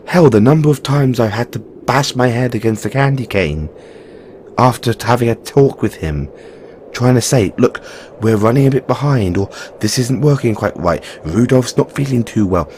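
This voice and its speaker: Man playing a frank, caring Christmas elf, expressive. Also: mid-range voice, normal speed, slight background noise, lightly acted. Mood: coaxing. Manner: earnest, chiding yet reassuring, informal. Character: frank, caring male Christmas elf, weary but supportive. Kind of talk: second-person audio roleplay monologue.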